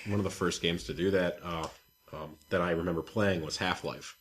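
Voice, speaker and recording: A man's voice: low-pitched, steady tone, moderate pace. A man hosting a podcast, chatting casually with wry, mocking opinions and questions. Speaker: podcast host.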